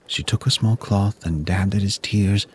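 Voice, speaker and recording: A man narrating a rhymed Christmas story in verse with a measured, solemn delivery. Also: fairly low pitch, steady tone, slight background noise. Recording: music bed under the voice. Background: music.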